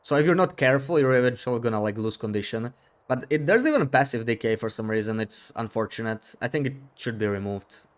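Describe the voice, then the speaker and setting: A man streams a game and chats casually with his viewers. His voice is mid-pitched and easygoing. He thinks aloud into a desk mic and often restarts his sentences. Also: quick pace. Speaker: live streamer. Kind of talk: casual gameplay chat, reading viewer comments.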